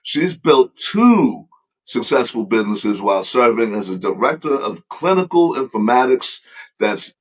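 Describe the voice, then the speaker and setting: A man's voice: medium pitch, big pitch swings, a little slow, low-quality recording. A man co-hosting a podcast, cheering on his guest and chatting with her in an eager, friendly way. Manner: enthusiastic, cheers and hypes the guest. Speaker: podcast co-host. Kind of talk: casual interview.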